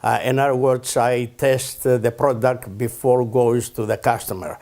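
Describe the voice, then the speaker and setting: An older man from Greece with a Greek accent, speaking halting broken English in an oral history interview, with many pauses and fillers. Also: mid-range voice, steady tone, darkish tone. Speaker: interview guest.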